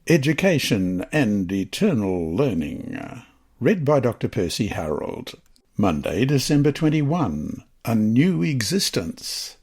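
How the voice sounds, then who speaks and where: A man from Australia reading a scripted Bible lesson and reciting scripture in a measured, solemn, deliberate manner. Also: medium pitch, a little mellow, slightly rough.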